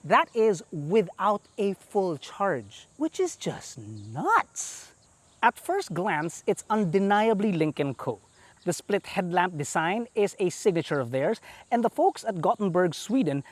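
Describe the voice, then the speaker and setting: Filipino-accented male car review host, animated swooping delivery, dry close sound, jokey and chatty. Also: sits high, no echo. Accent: Filipino English. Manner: chatty, playful, enthusiastic asides. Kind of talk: presenting a car review walkaround.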